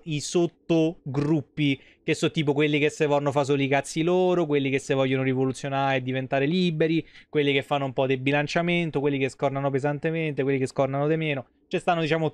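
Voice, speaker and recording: A man's voice: medium pitch, clear, resonant tone, no echo. A man in his thirties with a Roman Italian accent, commentating casually on a game in slangy, rambling talk. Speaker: game streamer. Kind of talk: casual live gameplay commentary.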